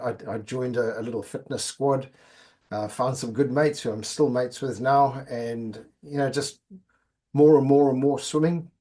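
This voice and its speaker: A man's voice: mid-range voice, mellow. A middle-aged man from Zimbabwe, a podcast guest chatting casually and often repeating words as he goes. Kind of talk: casual interview chat.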